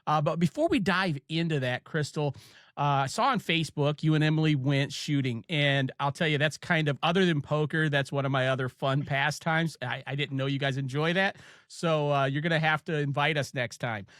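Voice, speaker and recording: A man's voice: a little high, a bit bright, no echo, clean studio sound. A man with an Ohio accent hosting a podcast, chatting casually and telling stories with lots of fillers and restarts. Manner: chatty storyteller, halting with many fillers. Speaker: podcast host. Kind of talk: casual chat.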